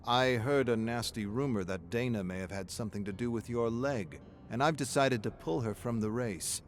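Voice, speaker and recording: A man's voice: mid-range voice, normal speed, a little hiss or hum, lightly acted. A man voicing a skeptical female running coach in a blunt, questioning manner. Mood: concerned.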